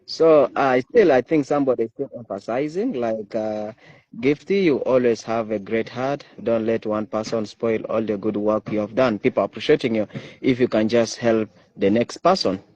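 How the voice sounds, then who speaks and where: A man's voice: medium pitch, moderate pace, darkish tone, lo-fi sound. Man hosting a livestream, reading comments. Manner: reads viewer comments aloud, hesitant fillers. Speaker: host.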